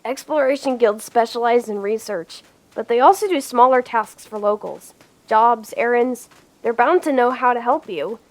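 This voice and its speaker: A woman's voice: on the high side, moderate pace. A young woman voicing a nervous, upbeat lead in a comic dub, with lots of fillers.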